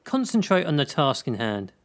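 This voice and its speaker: A man's voice: fairly high pitch, very animated, normal speed, clear, resonant tone. A man acting in a radio play as a stern, controlling gymnastics coach.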